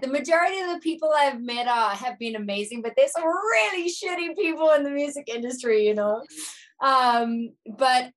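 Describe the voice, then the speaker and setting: South African woman, thirties, Americanized accent, fairly high voice, easygoing musician guest. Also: a bit bright. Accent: South African, partly Americanized. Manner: easygoing, thoughtful, uses "like" often. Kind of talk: casual interview chat.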